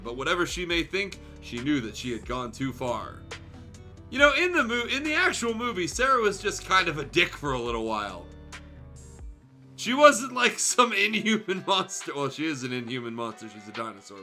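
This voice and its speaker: A man with a very bright, fairly high voice reads aloud on a livestream. He slips between narration and joking asides in a sardonic, casually sweary way, with guitar music behind him. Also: sing-song, a little fast. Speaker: streamer reading fan fiction aloud. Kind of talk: livestream read-aloud with joking off-the-cuff commentary.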